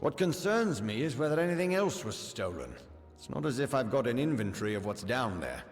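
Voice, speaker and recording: A proud fantasy army officer in a video game. He speaks with blustering authority and very lively intonation. Music plays behind his voice, which carries a long reverb. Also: mid-range voice, a little fast. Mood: gruffly reflective. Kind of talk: video game character acting.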